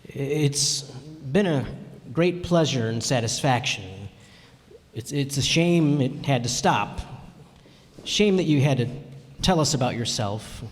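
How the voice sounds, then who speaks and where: A man's voice: mid-range voice, expressive. A man acting a kindly, regretful father in a radio play, speaking hesitantly. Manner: hesitant, halting. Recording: echoey hall, faint noise.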